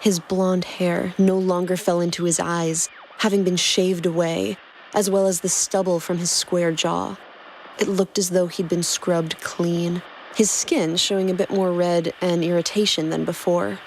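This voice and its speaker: A woman's voice: on the low side, little pitch movement, measured pace, a little hiss or hum, rough recording. A woman doing dramatic scripted narration of fan fiction as a podcast host. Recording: music clearly behind the voice.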